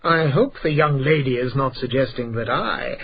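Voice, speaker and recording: An actor playing a vain, celebrated poet in a radio drama, speaking in a pompous, theatrical and condescending way. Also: male voice, medium pitch, moderate pace, vocal fry, highs cut off, noisy background, natural voice. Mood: indignant, haughty.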